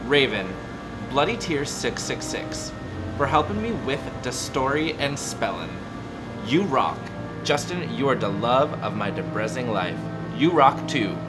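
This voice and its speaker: A man voicing a petulant, excitable teenage girl fan-fiction author, whiny, demanding and emphatic, in a comedic reading. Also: mid-range voice, measured pace, rough recording. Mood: gushing, pleading. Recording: loud music behind the voice.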